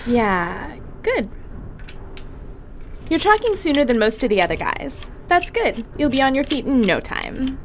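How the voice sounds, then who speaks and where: A woman's voice: high voice, a little slow, slight background noise. An actress playing a casual, teasing young woman who works as a spaceship medic. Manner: chatty, animated storyteller, casual and teasing. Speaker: ship medic.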